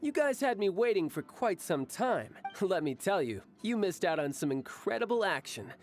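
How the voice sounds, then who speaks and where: A boastful young warrior from a video game. He teases breezily with very animated intonation, and music plays softly underneath. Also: high-pitched, normal speed. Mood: smug, teasing. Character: cocky, teasing young male warrior.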